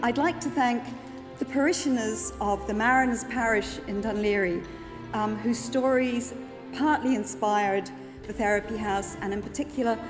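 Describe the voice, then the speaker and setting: A woman's voice: a little high, normal speed. A woman giving sincere award thanks. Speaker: award winner. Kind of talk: acceptance speech. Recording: reverberant hall with music.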